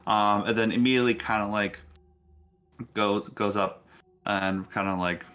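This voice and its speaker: A man serves as game master for a tabletop session. He improvises scenes in a casual, halting manner, talking directly to his players. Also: lowish voice, fairly even intonation, a bit bright, smooth.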